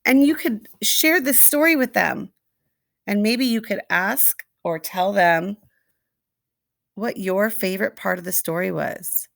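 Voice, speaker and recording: Slow-paced woman reading a picture book aloud. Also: mid-range voice, gravelly, fairly bright tone, no room sound, clean studio sound. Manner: slow, deliberate, with asides and questions to young listeners. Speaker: read-aloud storyteller. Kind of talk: expressive picture-book read-aloud for young children.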